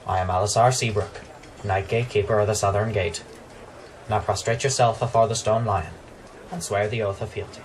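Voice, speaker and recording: An actor playing a dutiful young knight gatekeeper in a fantasy radio drama, speaking in firm, formal proclamations. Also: male voice, on the low side, fairly even intonation, brisk, distant mic, slight background noise, close to an everyday voice, poor audio. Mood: firm, respectful.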